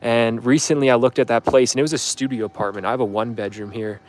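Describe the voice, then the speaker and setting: A man's voice: mid-range voice, steady tone, quick pace. A man in his twenties chatting casually on a livestream, answering viewer questions in a rambling, offhand way full of fillers. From Canada. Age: 20s. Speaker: livestream host.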